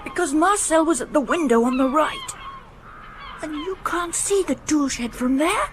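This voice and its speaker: A woman's voice: high-pitched, very animated, moderate pace, background noise. A woman playing a lonely, defensive young schoolboy in a radio drama, speaking hesitantly. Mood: upset. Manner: hesitant, short guarded answers.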